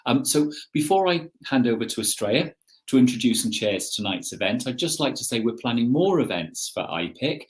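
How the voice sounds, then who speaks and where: A man giving a formal, measured event introduction as an academic host, sometimes halting as he goes. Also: mid-range voice, animated.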